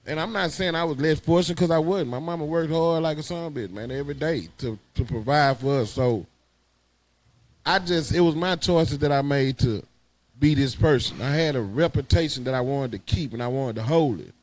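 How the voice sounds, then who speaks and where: Higher-pitched man telling stories. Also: normal speed, poor audio. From Houston, Texas. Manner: emphatic, slangy storytelling. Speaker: guest, high school alumnus. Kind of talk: casual reminiscing chat.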